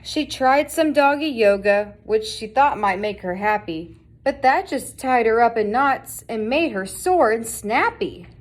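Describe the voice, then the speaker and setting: A woman with a fairly high voice narrates a children's storybook. She is reading aloud from a scripted tale meant for young listeners. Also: expressive, measured pace. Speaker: storybook reader.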